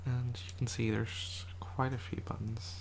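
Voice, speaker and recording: An ASMR creator in a quiet house, whispering a soft, rambling show and tell and often correcting themselves, voice a little rough from a cold. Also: medium pitch, little pitch movement, a little slow, background noise. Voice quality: whispered, soft-spoken delivery. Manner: rambling, self-correcting, chatty.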